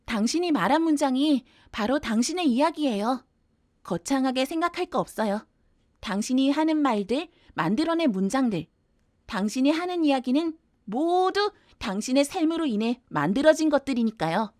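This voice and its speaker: A woman acting in an audio drama as an excitable, kindly library director who guides a newcomer politely and with bubbly delight. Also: sits high, moderate pace. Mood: gentle, encouraging. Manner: bubbly, polite, gushing over small things.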